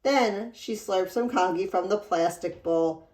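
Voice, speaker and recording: Woman, storybook read-aloud, unhurried pace, expressive delivery, roomy echo. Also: medium pitch.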